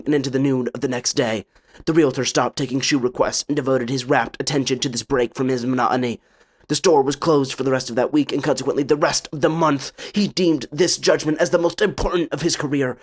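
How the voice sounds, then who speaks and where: A man narrating a scripted tale for a puppet show in a theatrical, solemn, literary manner. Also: medium pitch.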